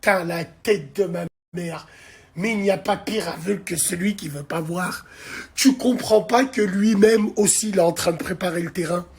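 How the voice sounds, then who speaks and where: Husky, heated man ranting in the dark. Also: high-pitched, moderate pace. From France. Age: 40s. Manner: heated, crude and insulting, addresses viewers directly. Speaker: social media commentator. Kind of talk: angry political rant to camera. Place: dark bedroom at night. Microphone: phone held at arm's length.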